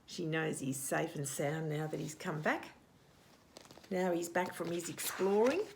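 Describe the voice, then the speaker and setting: A woman reading a picture book aloud to young children as a storytime host, expressive, with hushed asides and questions to the listener. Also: deep voice, gravelly, slight background noise. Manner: animated, expressive, asks questions and pauses for answers.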